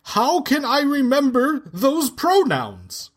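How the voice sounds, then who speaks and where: An actor playing a defensive, alarmist broadcaster in a radio drama, bewildered and slowly relenting. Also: high voice, huge pitch swings, exaggerated voice.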